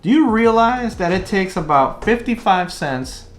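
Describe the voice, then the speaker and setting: A man's voice: high-pitched, lively intonation, a little slow. A man hosting a casual vlog, explaining things informally and stressing his key points. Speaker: vlog host, real estate investor. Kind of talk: casual vlog talk to camera.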